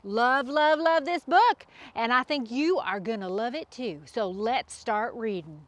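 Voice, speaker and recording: A woman hosting a children's story time, reading aloud in an animated storybook style. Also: on the high side, measured pace, dry recording.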